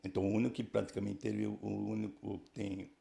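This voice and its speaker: Older São man, 60s, gravelly and dark-toned, halting testimony with constant tag questions. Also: lowish voice, little pitch movement. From Brazil, São Paulo region. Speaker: church member giving testimony. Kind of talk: casual life-story telling.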